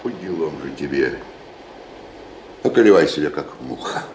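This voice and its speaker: An actor playing a scheming army captain in a radio drama, blustering and full of contempt. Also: male voice, extremely low pitch, lively intonation, normal speed, darkish tone. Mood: muttered contempt. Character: scheming, blustering dragoon captain, a duel second. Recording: echoey, noisy old radio recording.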